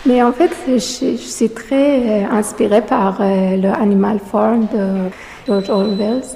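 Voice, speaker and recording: Non-native woman artist, hesitant and halting, gravelly low-bright tone, gallery reverb. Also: fairly even intonation, slight background noise. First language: not French. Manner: hesitant, many fillers and restarts. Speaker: exhibiting artist. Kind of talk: interview answers. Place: art gallery. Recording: long reverb of a gallery room.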